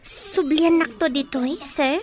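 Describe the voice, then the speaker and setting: A hesitant young single woman in a radio drama. Her voice is very high, with a naive, questioning manner. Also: unhurried. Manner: questioning, unsure.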